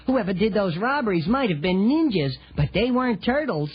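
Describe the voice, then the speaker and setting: An actor playing a brash, distrustful, streetwise young ninja turtle with a rough voice in a children's audio drama. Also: mid-range voice, big pitch swings, brisk. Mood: defiant. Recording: dull lo-fi 1980s tape.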